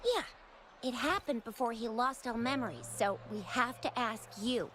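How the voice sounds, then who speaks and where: A woman playing a lively, inquisitive young female companion in a video game, with expressive delivery. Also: on the high side, moderate pace, a little hiss or hum, close to an everyday voice. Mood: inquiring. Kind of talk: video game dialogue acting.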